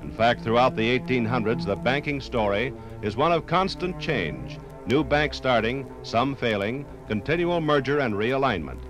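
Male narrator, medium pitch, expressive range, solemn vintage radio storytelling. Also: normal speed, hardly any pauses. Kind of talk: dramatic scripted 1950s radio narration. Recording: 1950s vinyl radio recording, music underneath.

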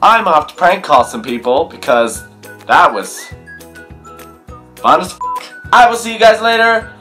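A man's voice: high voice, unhurried, faint music behind. Young man doing a jokey vlog tutorial. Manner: animated, jokey, exclaiming. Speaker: video blogger. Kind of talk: comic tutorial to camera. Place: small bedroom, indoors.

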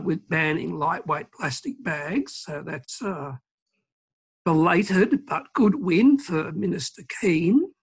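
A husky-voiced man in his sixties speaks slowly and deliberately over a webcam from a home office. His pitch sits a little high for a man, and he fills his pauses with "uh". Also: a bit bright. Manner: measured, many "uh" pauses. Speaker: environmental campaigner, panelist. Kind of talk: panel discussion answer. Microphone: video call webcam.

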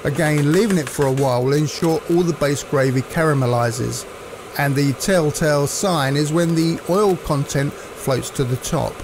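A man presents a recipe step by step. His voice sits a little high and has a lot of creak in it, and he explains each stage in a measured way. Clear cooking noise runs behind him. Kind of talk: instructional recipe narration.

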